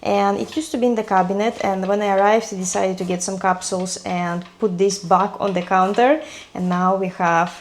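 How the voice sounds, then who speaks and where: A woman's voice: medium pitch, level tone, normal speed, slight background noise. A young Greek woman with a Greek accent, chatting casually to camera in a vlog and thinking aloud with little restarts. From Greece. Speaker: vlogger.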